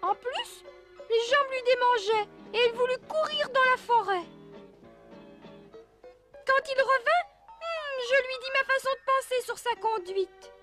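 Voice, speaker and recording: A performer voicing a tiny, fussy moralizing creature who narrates a cartoon recap in a gently reproving way. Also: very high voice, a little slow, pauses often, over music.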